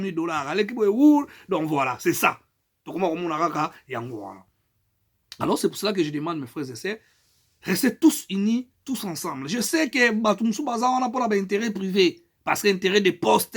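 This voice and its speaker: A middle-aged Congolese man with a high, husky voice delivers an impassioned political appeal. He speaks French with a Congolese accent and presses his points hard, swinging between loud bursts and quieter stretches. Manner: emphatic, rising and falling loudness, mixes in Lingala phrases. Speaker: political activist.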